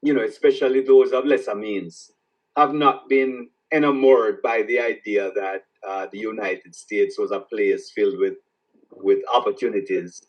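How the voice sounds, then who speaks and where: A man from Jamaica with a Jamaican accent, an author answering interview questions in a reflective, halting way that repeats words as he starts each thought. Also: lowish voice, steady tone, recorded from a distance, muffled recording. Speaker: author guest.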